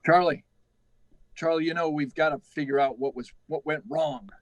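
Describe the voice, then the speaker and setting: An aging male test-flight chief played by a man, gruff and weighed down by guilt. His fairly high voice is anxious and halting, with urgent repetitions, in radio drama style. The sound is close and dry. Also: steady tone, no echo, close to an everyday voice. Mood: weary, guilty. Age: older. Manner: halting, urgent, repeating himself. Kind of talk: live radio drama acting.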